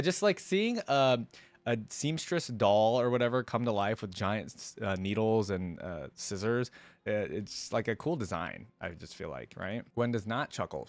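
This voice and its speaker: A man's voice: on the low side, dry recording. A man hosting a reaction video, moving between informal, enthusiastic fan commentary and reading a story aloud.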